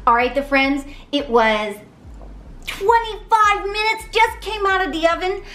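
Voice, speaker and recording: Bubbly thirties woman, home kitchen vlog, high animated voice. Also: a little slow, faint music behind. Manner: bubbly, playful, gasps and laughs often. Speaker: fitness cooking vlogger. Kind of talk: casual cooking demo chat.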